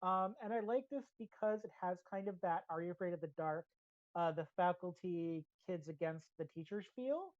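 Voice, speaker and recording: An American woman with a fairly low voice talks casually through her opinions like a podcast host. She is chatty and drops in asides. The sound is very dry and a little dull, with limited bandwidth. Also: moderate pace, a little mellow, dry recording. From United States. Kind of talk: casual opinionated pop-culture review. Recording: slightly dull, band-limited audio.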